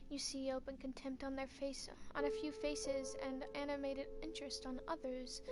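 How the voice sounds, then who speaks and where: A woman reading a story game's text aloud in second person, making light asides now and then. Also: sits high. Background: loud game music.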